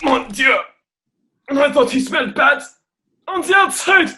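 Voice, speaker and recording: Bright, swooping, indignant duck. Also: male voice, very high voice, expressive, measured pace, slight background noise, full character voice. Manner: grumbling, boastful, peppers speech with French. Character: proud, indignant ugly duckling who refuses to be swan. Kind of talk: irreverent radio play acting.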